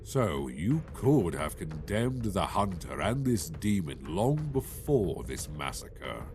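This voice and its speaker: Man acting a slow, imperious low-voiced dragon. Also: very animated, noisy background, full character voice. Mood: accusing, angry. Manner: imperious, scornful. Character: proud, menacing, irritable dragon. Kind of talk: fantasy audio drama acting.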